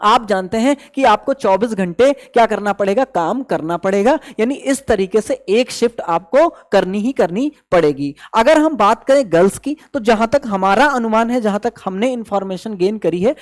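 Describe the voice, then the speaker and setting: A woman's voice: medium pitch, lively intonation, moderate pace, hardly any pauses. A woman giving an explanatory online coaching lesson, repeating phrases and checking that listeners follow. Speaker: exam coaching instructor. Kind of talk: explanatory online lesson with Hindi-English code-mixing.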